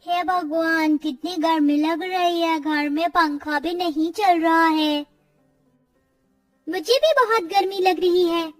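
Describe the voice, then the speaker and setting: A woman's voice: very high voice, slow, soft background music. A woman voicing a whiny, eager young child in a cartoon story, pleading and exclaiming. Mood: complaining. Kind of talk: Hindi cartoon story acting.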